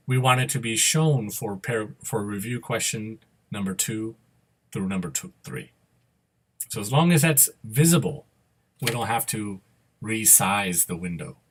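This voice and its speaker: A man giving an unscripted, step-by-step software tutorial as an instructor, explaining each move and often checking that the listener follows. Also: medium pitch.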